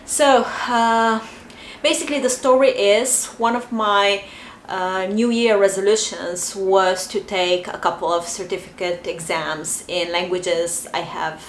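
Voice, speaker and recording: A young adult woman with a Bulgarian accent, talking casually to camera and pausing often with fillers. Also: medium pitch, slight background noise. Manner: chatty, hesitant, many fillers. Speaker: vlogger. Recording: echoey room, faint ambience.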